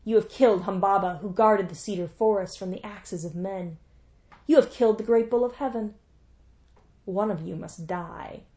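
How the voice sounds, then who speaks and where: A cold, vengeful goddess who speaks in a stern, commanding way, read by a woman telling the story. Her lines have the measured weight of an old epic. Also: medium pitch, dark tone, natural voice.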